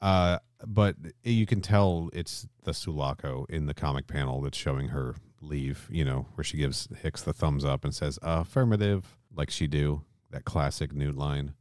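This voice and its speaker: A man's voice: a bass voice, moderate pace, hardly any pauses, darkish tone, dry recording, studio quality. A man co-hosting a podcast in a casual, jokey, bantering conversational style. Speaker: podcast host. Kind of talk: casual film and comic discussion chat.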